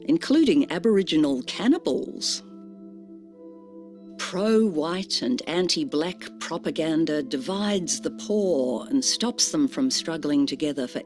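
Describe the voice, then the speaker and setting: Grave narration over loud music. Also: mid-range voice. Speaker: documentary narrator. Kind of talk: scripted narration.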